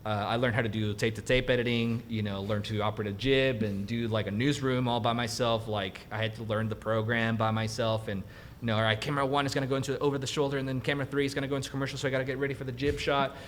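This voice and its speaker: A young man from Mexico, a native Spanish speaker, telling his career story as a guest in a casual interview, animated and laughing easily. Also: medium pitch, fast, slight background noise. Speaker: guest cinematographer.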